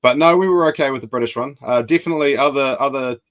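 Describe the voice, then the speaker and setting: A man from New Zealand co-hosting a podcast, telling relaxed, casual anecdotes. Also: medium pitch, fast talker, lo-fi sound. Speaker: podcast co-host.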